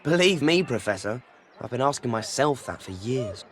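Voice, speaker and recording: An actor playing an earnest, suspicious British schoolboy wizard with a husky voice, in video game style. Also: male voice, mid-range voice, sing-song, moderate pace, a bit bright. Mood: wry. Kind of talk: video game character acting.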